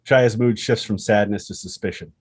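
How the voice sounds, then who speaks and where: A man's voice: on the low side, fairly even intonation, normal speed, breathy edge. A man reading stage directions as scripted narration for a screenplay table read.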